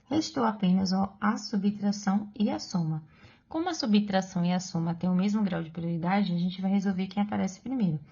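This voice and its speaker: A woman's voice: medium pitch, fairly quick, vocal fry, warm tone, breathy edge, muffled recording. A woman with a Brazilian Portuguese accent, teaching math step by step for exam prep and patiently checking in with the viewer.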